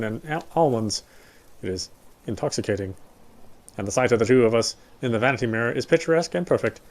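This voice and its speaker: A man doing a live dramatic reading of first-person story narration from a game. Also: medium pitch. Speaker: let's player reading game narration aloud. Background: faint music.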